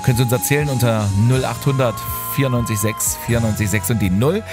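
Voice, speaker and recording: A man's voice: medium pitch, fast, over loud music. A man hosting a morning radio show, chatting easily in standard German with a light Saxon regional tinge, his phrasing often drawn out. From Saxony, near the Ore Mountains. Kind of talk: breezy morning radio chat.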